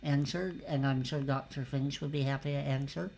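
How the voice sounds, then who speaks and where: A man hosting a casual livestream interview show, telling stories in a relaxed, conversational way. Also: mid-range voice, moderate pace, gravelly, a little hiss or hum. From California.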